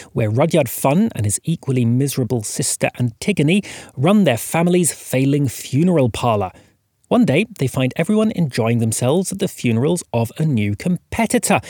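Husky, lively male podcast host. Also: on the high side, no room sound, studio quality. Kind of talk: podcast introduction, partly scripted.

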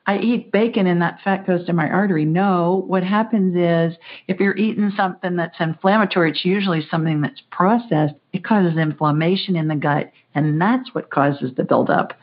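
A woman hosting a call-in show, talking in a brisk, chatty, folksy way. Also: lowish voice. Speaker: psychic show host. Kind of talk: call-in radio chat. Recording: muffled lo-fi audio.

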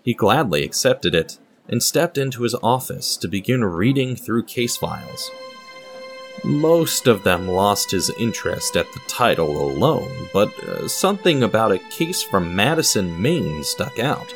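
A male narrator reads a scripted dramatic tale. His voice sits a little low, but it moves up and down in pitch with lively expression. Soft music plays behind the narration. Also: measured pace, gravelly. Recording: music under the voice.

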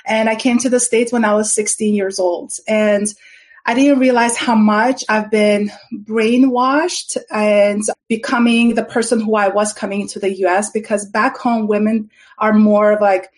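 A woman's voice: mid-range voice, fairly even intonation, unhurried, a little mellow. Woman telling her story. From Armenia. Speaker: podcast guest. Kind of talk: personal storytelling.

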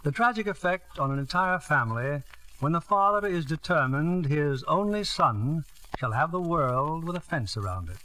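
A male radio host with a slightly high, bright voice gives a formal scripted introduction. His delivery is polished, in the style of 1950s radio theatre. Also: expressive, normal speed, rough recording.

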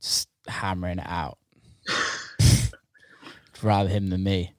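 Easygoing podcast host, lowish voice. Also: male voice, little pitch movement. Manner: easygoing, quick interjections, curious questions. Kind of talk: casual podcast conversation.

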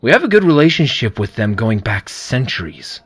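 Man as a commanding president, hearty and lively in pitch, audio drama. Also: normal speed, slightly rough. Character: confident male president, friendly and commanding.

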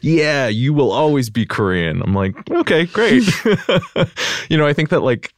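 A man's voice: fairly high pitch, sing-song, unhurried, no echo, clean studio sound. A young American man, a podcast guest, talking thoughtfully with many fillers like "like" and "you know". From United States. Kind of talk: reflective interview conversation.

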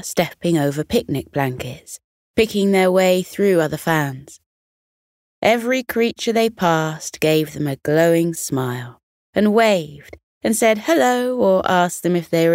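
A woman tells a children's bedtime story at a very slow pace. Her voice sits slightly low, recorded close and dry. The delivery is gentle and expressive. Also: no echo.

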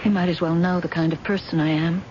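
A woman's voice: on the low side, steady tone, quick pace, natural voice. An actress playing a bitter, scheming wife who loathes her husband, in an intense, theatrical 1970s radio drama style. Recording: dull, hissy old radio broadcast.

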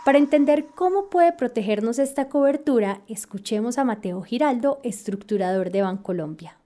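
A woman hosting a podcast, reading scripted educational narration in a measured, explanatory way. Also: a little high, lively intonation, warm tone. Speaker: podcast host.

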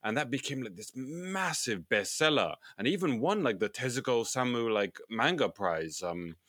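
A tired man with low energy co-hosting a podcast, talking casually and hesitantly, often repeating words. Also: sing-song, normal speed, fairly bright tone, no echo, clean studio sound. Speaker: podcast co-host, film distributor.

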